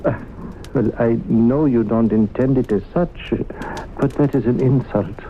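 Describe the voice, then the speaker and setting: Actor as wily, fussy professor on muffled radio. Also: male voice, mid-range voice, measured pace, natural voice. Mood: stubborn, wheedling. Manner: genteel, hesitant, mock-reluctant. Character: wily, fussy male professor haggling over his demonstration. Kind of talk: 1970s radio drama acting. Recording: muffled, hissy old radio broadcast.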